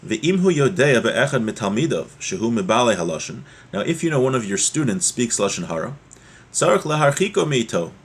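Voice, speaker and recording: A man giving a religious lesson as a teacher, explaining a text point by point and repeating key ideas, in a husky voice. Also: mid-range voice, big pitch swings, fairly quick. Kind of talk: Torah lesson, reading Hebrew text and explaining it.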